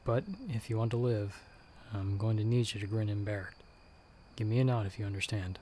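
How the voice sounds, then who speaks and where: A man playing a stern, sardonic monster hunter who guides the listener in hushed, urgent tones in an ASMR audio roleplay. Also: lowish voice, steady tone, a little fast, slight background noise, natural voice. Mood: tense, instructing. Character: gruff, sardonic male monster hunter, a disembodied guiding voice.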